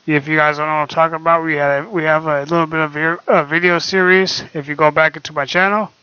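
A young man talking quietly and hesitantly with many fillers, recorded on his laptop microphone at home. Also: on the high side, level tone, quick pace, clear, resonant tone, dry recording. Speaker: vlogger. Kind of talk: casual screen-recorded talk. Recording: dull lo-fi laptop mic.

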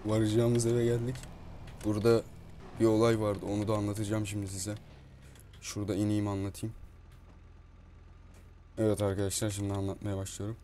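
A man's voice: fairly low pitch, monotone, brisk, pauses often, mellow. A man improvising comic game commentary as a roleplay video host, swearing freely. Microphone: gaming mic at a computer. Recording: constant noise with keyboard and mouse clicks.

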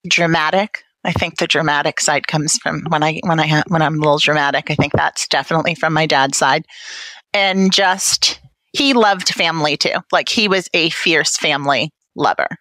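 A woman's voice: deep voice, moderate pace, sharp tone, no room sound, low-quality recording. A woman with a Brooklyn accent speaking candidly and wryly in a personal interview. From Brooklyn, New York, United States. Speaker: interview guest, patient family advocate.

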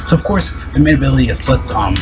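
A man with a deep voice giving casual commentary on a mobile game, rambling quickly with many fillers and restarts. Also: expressive, music in the background. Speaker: gaming video host.

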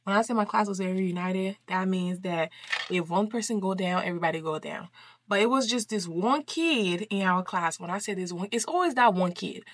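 A woman's voice: mid-range voice, fairly quick, background noise. A young woman from Liberia chatting casually in slangy, emphatic speech, telling a story like a vlogger. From Liberia, West Africa. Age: young adult. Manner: informal, slangy, emphatic repetition. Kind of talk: casual chatty storytime while doing makeup. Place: small furnished bedroom, indoors.

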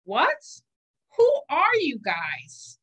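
A woman's voice: high-pitched, huge pitch swings, very slow, fairly bright tone, pitched above the performer's own voice, full character voice. A woman voicing a naive young schoolboy from a picture book, questioning and exclaiming. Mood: baffled.

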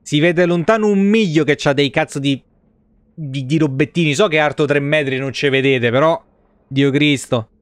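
A man's voice: on the high side, normal speed, no room sound, clean studio sound. A man, around his thirties, giving casual, joking live commentary on a game and swearing freely. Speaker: game streamer. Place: indoor home streaming setup.

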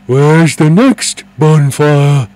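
A man reading aloud and voicing a gentle, lonely male dragon who speaks in a plaintive, wheedling way. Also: on the high side, extremely slow. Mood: excited.

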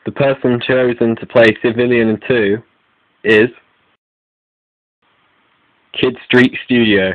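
A man's voice: mid-range voice, steady tone, unhurried, no room sound. A man hosting an online video update, reading out announcements in a scripted style. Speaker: video creator and host. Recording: phone-like, narrow lo-fi sound.

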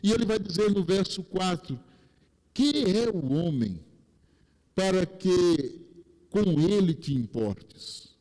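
A Brazilian man in his forties with a Rio accent preaching a sermon from a pulpit on a headset mic, slow and deliberate, dropping his pitch for emphasis. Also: lively intonation, dark tone. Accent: Rio de Janeiro Brazilian Portuguese. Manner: gestures broadly while preaching at a pulpit. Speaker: pastor. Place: large church sanctuary, indoors. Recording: long church hall reverb.

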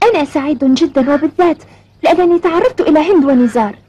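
A woman's voice: high voice, a little fast, dark tone, lo-fi sound, natural voice. A woman voicing an earnest, eager young boy in a cartoon, speaking courteously and formally. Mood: happy. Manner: courteous, formal, clearly enunciated. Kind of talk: Arabic cartoon dubbing.

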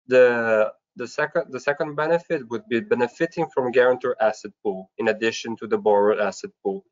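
A man giving a formal, scripted slide presentation in a webinar, going through his points step by step. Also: fairly low pitch. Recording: dull, lo-fi online webinar audio.

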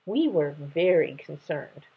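A woman plays a kindly mother lion in a storybook. She talks slowly and with lively pitch, motherly, a little husky and gently firm. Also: highs cut off, full character voice. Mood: concerned. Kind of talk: children's storybook read-aloud.

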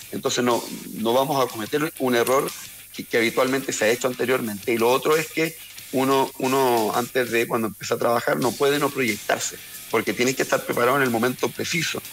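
Man, 40s, Chilean accent, football coach, video call, earphone mic, earnest, restarts, rapid delivery. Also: mid-range voice, creaky, a bit bright. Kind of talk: remote interview answers. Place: bright room at home. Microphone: earphone headset on a video call.

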